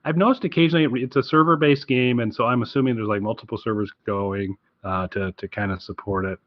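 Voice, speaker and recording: A man's voice: mid-range voice, fairly even intonation, fast, no echo, lo-fi sound. A man chatting casually and enthusiastically as a podcast co-host, rambling through a game recommendation.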